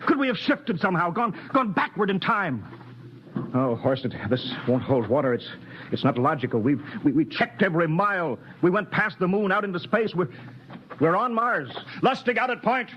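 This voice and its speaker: An actor playing a stern, stubbornly rational spaceship captain in a mid-century radio drama, commanding, clipped and agitated. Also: male voice, high-pitched, lively intonation, moderate pace. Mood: baffled, insistent. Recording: muffled, noisy old radio recording.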